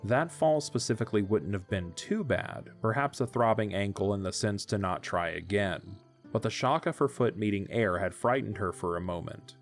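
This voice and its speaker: A man narrating an audio drama in a measured storytelling delivery. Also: on the low side, soft background music.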